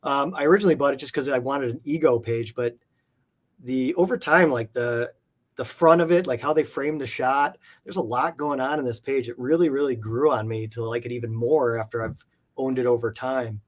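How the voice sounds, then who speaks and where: A man's voice: medium pitch, a little fast. A man talking casually as an interview guest, rambling enthusiastically through details of things he collects. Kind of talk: casual chat.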